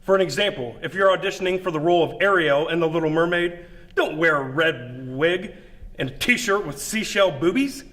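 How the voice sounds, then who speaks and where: A man's voice: on the high side, lively intonation, normal speed, a bit bright. A man presenting scripted instructional tips on acting.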